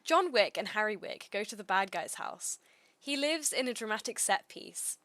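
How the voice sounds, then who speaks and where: Bright-voiced woman, dry and deadpan. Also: mid-range voice, normal speed, no echo. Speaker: streamer reading a script aloud. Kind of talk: deadpan reading and casual stream chat.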